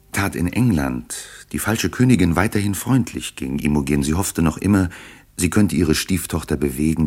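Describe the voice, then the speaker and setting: A man narrating a classical German radio play as a composed storyteller, in measured literary speech. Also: rumbling low, a little mellow. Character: composed male storyteller of a royal tale.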